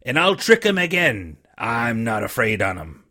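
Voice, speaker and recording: A man's voice: mid-range voice, very animated, a little slow, sharp tone, pitched below the performer's own voice, exaggerated voice. A man voicing a weak, ranting old sea captain. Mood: defiant. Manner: pleading, blustering sailor's talk. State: weak and feeble. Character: sick old sea captain, rough, rum-craving.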